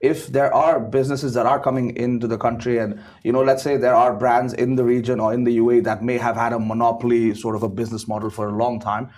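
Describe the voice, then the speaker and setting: Husky man explaining business points. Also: mid-range voice, little pitch movement, fast talker, hardly any pauses, a little mellow. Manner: repeats words, ends points with "right?". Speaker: business setup guest. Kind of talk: casual panel discussion.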